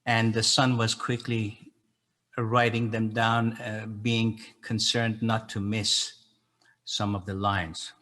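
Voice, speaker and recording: Slow-talking older man, low voice. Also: little pitch movement, sharp tone. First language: Persian. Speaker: educator and translator leading a poetry gathering. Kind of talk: informal explanatory talk to an audience.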